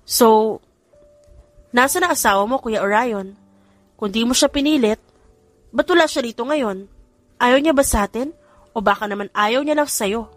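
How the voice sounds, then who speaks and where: A woman's voice: fairly high pitch, normal speed, long pauses, no room sound, close to an everyday voice. A woman with a Filipino accent voicing a sassy, indignant youngest sister in an audio story, bratty and challenging. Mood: teasing, challenging.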